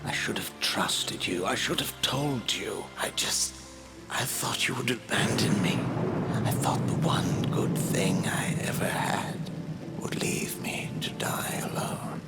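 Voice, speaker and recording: A man voicing the sorrowful ghost of a baron in a video game, speaking slowly and formally. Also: lowish voice, gravelly, in a whisper. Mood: remorseful. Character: remorseful ghost of a male baron. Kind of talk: dramatic video game voice acting. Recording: loud music and rain behind. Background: game music and rain.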